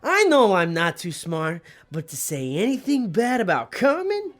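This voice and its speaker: A woman playing a good-hearted, eager and none-too-bright underling in an audio drama, with simple, unpolished speech. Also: mid-range voice, a little slow. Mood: indignant. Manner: simple, unpolished grammar, excitable.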